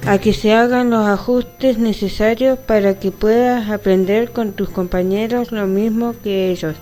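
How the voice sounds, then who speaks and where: A woman's voice: mid-range voice, steady tone, measured pace, mellow. A woman reading scripted text and narration for an amateur radio programme, with music behind.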